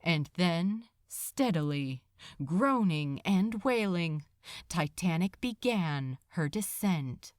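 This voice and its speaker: Slow, highly expressive woman narrator. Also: dry recording, clean studio sound. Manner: solemn, deliberate, suspenseful. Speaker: podfic narrator. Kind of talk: dramatic scripted story narration.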